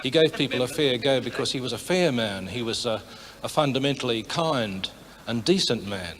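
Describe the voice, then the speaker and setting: A mature man gives an interview recollection. His pitch is average for a man, and he talks reflectively about someone he worked with. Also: expressive, moderate pace, background noise. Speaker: interviewee, veteran broadcaster.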